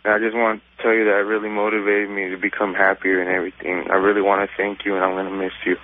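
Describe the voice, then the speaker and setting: Low-voiced male caller, flat intonation. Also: quick pace. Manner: earnest, grateful. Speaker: caller to a radio show. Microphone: telephone. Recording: narrow, tinny phone line.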